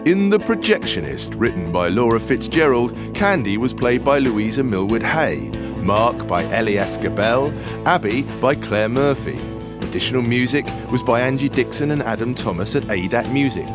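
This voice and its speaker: A man's voice: mid-range voice, lively intonation, moderate pace. Male announcer over plucked guitar music. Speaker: credits announcer. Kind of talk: scripted narration.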